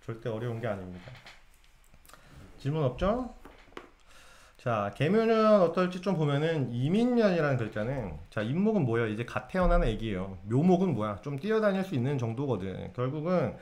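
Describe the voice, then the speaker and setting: A man's voice: mid-range voice, big pitch swings, normal speed, a little mellow. A man giving a casual lecture to viewers on fortune-telling. He keeps asking his audience questions and answering them himself.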